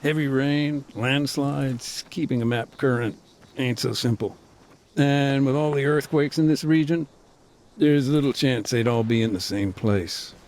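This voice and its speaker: A middle-aged man voicing a frank former combat engineer turned demining worker, measured and matter-of-fact in a game cutscene. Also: medium pitch, darkish tone, a little hiss or hum, lightly acted. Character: weary male ex-soldier turned deminer, frank. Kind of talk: voiced game cutscene dialogue.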